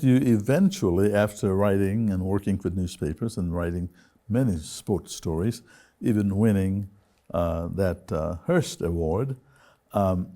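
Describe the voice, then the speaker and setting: A man hosting a television interview, putting questions in a measured, deliberate way, phrase by phrase. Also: lowish voice, warm tone.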